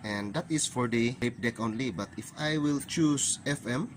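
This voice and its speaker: A man's voice: mid-range voice, moderate pace. A man narrating a hands-on repair tutorial, explaining plainly step by step with non-native phrasing. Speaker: repair channel host. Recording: clearly noisy recording with ambient hiss.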